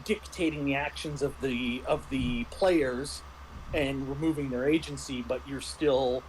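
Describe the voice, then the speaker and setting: A man's voice: medium pitch, fairly even intonation, a little slow, a little mellow, slight background noise, rough recording. A man in a casual discussion, explaining like a game master and often rephrasing himself mid-thought.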